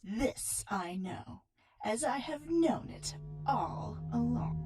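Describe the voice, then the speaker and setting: A hard, loveless sorceress wife speaks in a high, swooping voice, as a male storyteller puts her on. Her lines come slow and scornful. The voice sits far from the mic, under loud music. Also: expressive, heavily acted. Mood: cold, scornful. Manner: cold, hard, spitting words. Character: cold treacherous sorceress wife, young woman.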